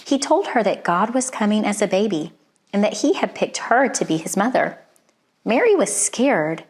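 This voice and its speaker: Female storyteller, mid-pitched voice, expressive children's read-aloud with deliberate phrasing. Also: breathy edge.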